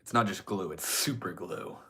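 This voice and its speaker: A man's voice: low voice, normal speed, pushed and bright, a little hiss or hum. A young man with a stuffy nose, casually chatting with his livestream viewers and swearing freely. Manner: laid-back, swears often, chats with viewers. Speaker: livestreamer reading chat.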